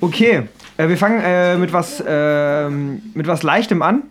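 A young man co-hosting a podcast in casual chat, explaining things in a halting, loosely phrased way. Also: a little high. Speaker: podcast co-host. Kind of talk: casual chat among friends.

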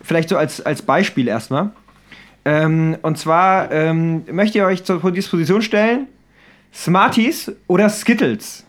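Young guy, pretty high voice, casual podcast chat, kinda halting and loose. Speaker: podcast co-host. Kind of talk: casual chat among friends.

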